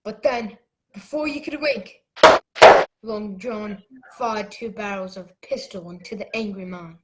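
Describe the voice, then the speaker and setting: A woman's voice: medium pitch, measured pace, heavy background noise, close to an everyday voice. A woman playing the earnest narrator of an adventure radio play, telling it as a dramatic memory. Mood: tense. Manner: dramatic storytelling.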